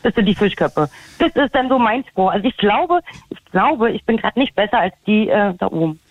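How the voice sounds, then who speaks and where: A woman with a Berlin accent calling in to a radio show, talking in a halting, hesitant way. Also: medium pitch, expressive, dry recording. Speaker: caller. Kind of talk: call-in radio chat. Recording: tinny phone line.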